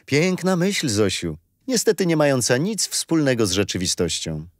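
Grandfather, patient and kindly storyteller for a children's history play, animated intonation. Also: mid-range voice, normal speed, no echo, clean studio sound. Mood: kindly, explaining. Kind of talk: children's radio play acting.

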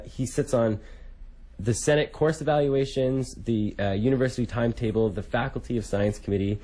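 A young man hosts a radio interview in a loose, casual style. His voice is mid-pitched, and he often searches for his phrasing as he introduces guests and asks questions. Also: dark tone. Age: young adult. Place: radio studio.

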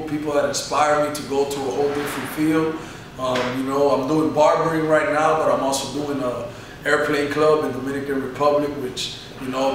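A man's voice: mid-range voice, a bit bright, slight background noise. A man in his thirties, a barbershop owner, giving earnest motivational answers in a sit-down interview, peppered with "you know". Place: barbershop, indoors. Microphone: camera mic from across the room. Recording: echoey room, mic far off.

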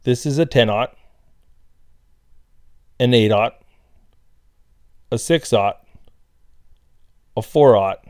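A man reads a tutorial voice-over in a plain, steady manner, his pitch sitting in an ordinary middle range. The sound is close and dry, with no room around it. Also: no echo. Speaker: fishing instructor.

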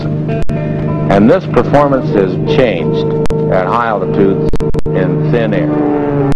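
Low-voiced male film narrator over loud music. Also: low-quality recording. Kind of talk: scripted narration. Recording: late 1960s film soundtrack.

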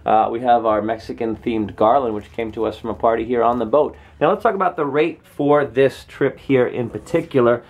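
Man, vlog host, behind camera, leading questions, tour-guide narration, mid pitch. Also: fairly quick, hardly any pauses, darkish tone, lo-fi sound. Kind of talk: upbeat travel vlog interview. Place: small ship cabin, indoors. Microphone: camera mic, behind the camera.

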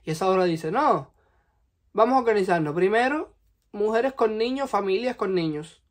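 A young man in his twenties from Cuba, speaking with a Cuban Spanish accent, telling a personal story to the camera in his bedroom with lively, expressive delivery. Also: sits high, normal speed. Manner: animated, expressive, gesturing with hands. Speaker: vlogger. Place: small bedroom, indoors. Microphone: camera in front at close range.

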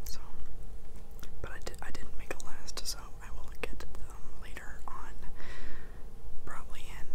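A man's voice: normal speed, pauses often. A man in his twenties whispering a casual, rambling ASMR chat close to the mic. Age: 20s. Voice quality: whispered, unvoiced. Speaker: ASMR video host. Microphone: close mic, face near the camera.

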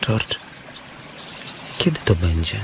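An actor playing a simple-minded, trusting grown farmhand in a radio drama, childlike and pleading. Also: male voice, sits low, very animated, slow pace, background noise, full character voice. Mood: hopeful, eager. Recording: music clearly behind.

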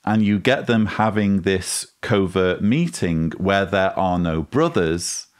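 A man in his forties with a very low voice presents critical commentary to camera. He explains in a measured way, close to a studio microphone in a small room. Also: expressive. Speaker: host, commentator. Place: small indoor room with bookshelves. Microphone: studio mic on boom arm, close.

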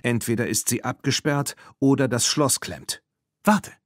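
A man's voice: mid-range voice, animated, a little slow, no room sound, clean studio sound, natural voice. A man voicing a practical, spirited teenage girl in a children's audiobook. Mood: annoyed.

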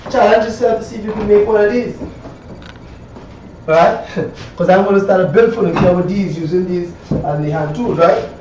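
A man's voice: high voice, normal speed. A man with a Caribbean accent giving a casual hands-on woodworking demonstration, rambling and repeating phrases with frequent checking tags. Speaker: woodworker demonstrating hand tools. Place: small cluttered indoor woodwork shop. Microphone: camera a few metres away. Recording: echoey room, distant mic, dull, faint noise.

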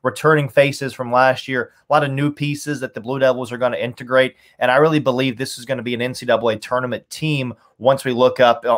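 A man's voice: mid-range voice, a bit bright. A man in his thirties, a sports announcer, talking conversationally as a podcast guest on a video call from home. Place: small home room, indoors.

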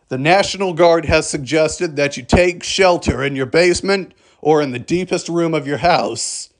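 A man reading a scripted emergency alert broadcast in a formal, measured manner. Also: fairly high pitch.

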